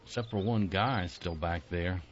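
A man voicing an army captain who is brisk, teasing and good-humored. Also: deep voice. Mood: gruff, amused. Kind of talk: audio drama acting. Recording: muffled lo-fi recording.

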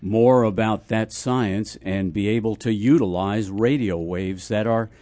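An older man talking casually as a radio host, explaining things in a deliberate way. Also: lowish voice, dark tone.

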